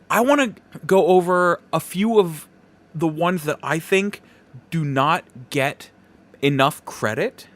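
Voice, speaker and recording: Guy doing a casual solo podcast, kinda higher voice, really up and down, opinionated. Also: a bit bright. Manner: conversational, opinionated, emphatic. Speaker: podcast host.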